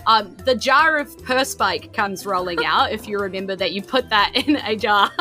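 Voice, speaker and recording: Kiwi woman running a dnd game, bright higher voice, chatty improv storytelling, music behind. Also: animated, normal speed. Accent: New Zealand. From New Zealand. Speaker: game master of a tabletop game podcast. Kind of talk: casual improvised game narration. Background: background music.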